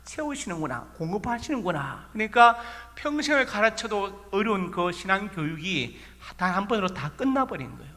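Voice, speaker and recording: A male pastor with a Korean regional accent preaching from the pulpit, his voice bright and forceful, pitched a little high. He tells homely stories and keeps firing rhetorical questions at the congregation. Each line rings out with the long reverb of a church sanctuary. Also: very animated, moderate pace, hardly any pauses. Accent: Korean regional dialect. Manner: folksy storytelling with rhetorical questions. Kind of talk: sermon.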